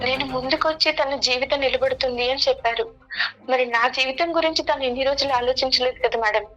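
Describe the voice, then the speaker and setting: A woman's voice: sits high, quick pace, muffled recording, music in the background. A woman guest on a talk show giving an emotional personal account in a protesting but respectful way.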